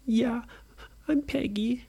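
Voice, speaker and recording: A parent squeaking as a timid little girl. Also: slow, husky. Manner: soft, timid, high. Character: small scared little girl.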